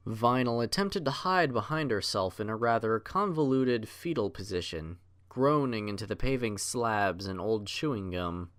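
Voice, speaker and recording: A man narrating a scripted story as an audiobook reader, in measured literary storytelling. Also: fairly low pitch, animated, fairly bright tone.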